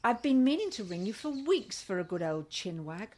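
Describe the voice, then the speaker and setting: A woman's voice: mid-range voice, very animated, moderate pace, mellow. An actress in a radio comedy play as a chummy, blunt, teasing British woman friend, breezy and wry. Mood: breezy, affectionate.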